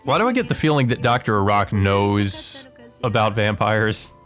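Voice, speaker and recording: A man's voice: fairly low pitch, normal speed, fairly bright tone, soft background music. A man hosting a let's play, reading visual novel text aloud with casual commentary.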